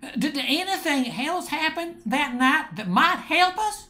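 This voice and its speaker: A man's voice: very high-pitched, expressive, pushed and bright, exaggerated voice. An older actor playing a nervous, stammering man in an amateur radio drama. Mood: earnest. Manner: halting, stammering. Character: George, nervous stammering male co-worker and friend.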